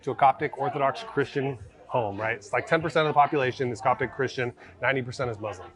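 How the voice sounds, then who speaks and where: A man's voice: mid-range voice, fast talker, a crowd in the background. An American man chatting casually as a podcast guest, informal, often peppering his talk with like and right. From born in Egypt, grew up in America. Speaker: podcast guest, former mortgage broker. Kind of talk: casual chat.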